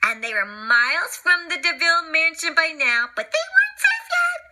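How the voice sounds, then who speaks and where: A woman reading a children's story aloud as storyteller, in an animated, dramatic and expressive way. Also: extremely high pitch, normal speed, noisy background.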